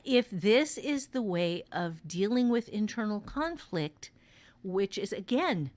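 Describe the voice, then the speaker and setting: A woman teaching fiction writing in a casual podcast conversation, speaking deliberately and repeating phrases for emphasis. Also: mid-range voice, very animated, darkish tone.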